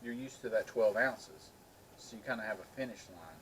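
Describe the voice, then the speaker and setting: Man, middle-aged, somewhat deep voice, casual chat, noticeably noisy recording. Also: moderate pace, fairly bright tone. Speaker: video co-host.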